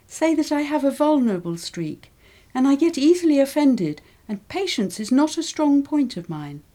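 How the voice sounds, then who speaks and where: A woman reading model texts aloud for English learners, with a clear and careful delivery. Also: high voice, mellow.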